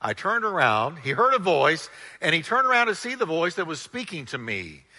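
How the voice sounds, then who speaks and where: A man preaching a sermon with a loud, piercingly bright voice that carries. His pitch sits a little high, and he stretches key words for drama. Long reverb from a church hall trails each phrase. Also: very animated. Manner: emphatic preaching with dramatic pitch swings and slow stressed words; calls on the congregation to repeat phrases. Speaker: pastor.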